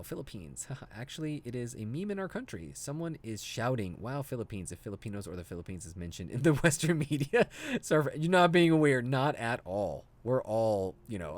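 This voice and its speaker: A man casually streaming a game, easygoing and chuckling often, chatting and reading viewer comments aloud. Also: mid-range voice. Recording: music playing behind the voice.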